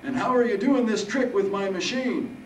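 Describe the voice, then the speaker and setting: A man voicing a stubborn, wisecracking old military veteran in a storybook reading, with folksy, gruff talk. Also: high voice, normal speed, voice pushed up, lightly acted. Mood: amused. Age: older. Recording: roomy, distant mic, faint noise.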